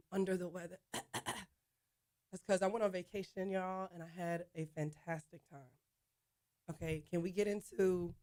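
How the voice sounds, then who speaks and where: A woman hosts a sports talk show on her own and chats easily with her listeners, calling them y'all. Her voice is smooth and clear despite a slight hoarseness. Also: on the low side. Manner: chatty, addresses listeners as y'all. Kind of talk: casual solo show talk.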